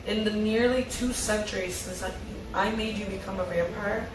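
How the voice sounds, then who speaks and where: A woman's voice: mid-range voice, fairly even intonation, moderate pace, noisy background, close to an everyday voice. A woman reading the part of an earnest, guilt-ridden younger brother in a drama table read. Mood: pleading. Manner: earnest, pleading. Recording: roomy, distant mic, music underneath.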